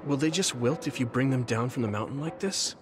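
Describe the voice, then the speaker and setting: A man's voice: mid-range voice, fairly quick, over music, lightly acted. A man playing a kind, protective young soldier in an anime-style video game, speaking in a friendly, earnest, reassuring way. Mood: concerned.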